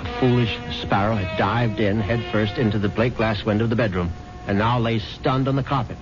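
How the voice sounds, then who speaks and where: A man's voice: fairly low pitch, steady tone, a little fast, close to an everyday voice. An actor playing a smug, urbane rich widower who narrates his own scheme with dry irony in a 1970s radio drama. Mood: shaken. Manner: self-satisfied, urbane, drily ironic. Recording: muffled vintage radio broadcast, loud music behind.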